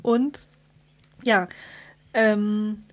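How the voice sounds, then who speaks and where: A woman with a medium-pitched voice talks as a podcast co-host. She explains things casually, as if chatting across the table with her partner. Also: steady tone, drawn-out words, slight background noise.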